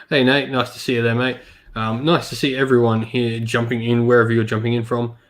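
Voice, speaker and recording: Croaky laid-back man streaming. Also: medium pitch, flat delivery, fairly quick. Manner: laid-back, many ums, greets chat viewers by name. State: slightly croaky from illness. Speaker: hobby stream host. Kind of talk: casual live-stream chat while painting miniatures.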